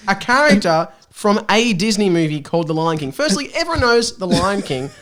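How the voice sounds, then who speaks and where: A man's voice: high-pitched, big pitch swings, moderate pace, a bit bright, a little hiss or hum. An Australian man guesting on a comedy podcast, reading fan fiction aloud and bantering, giggly, sarcastic and sweary.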